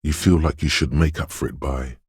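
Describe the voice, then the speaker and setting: A man voicing a detective's eerie, dreamy intuition in a video game, narrating in a husky, hushed, ominous and poetic way. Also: steady tone, a little fast, no echo, clean studio sound. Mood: searching, uncanny. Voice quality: husky, gravelly bass. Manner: hushed, ominous, poetic, lingering on short phrases. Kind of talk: atmospheric second-person video game narration.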